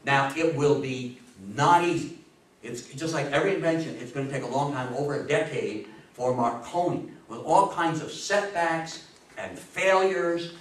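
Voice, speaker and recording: A man in his fifties giving a history talk to an audience, emphatic and theatrical, with dramatic pauses. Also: fairly high pitch. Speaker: lecturer. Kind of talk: animated public history talk to a live audience. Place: meeting room with a seated audience, indoors. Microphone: distant camera microphone. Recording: echoey room, recorded from far off.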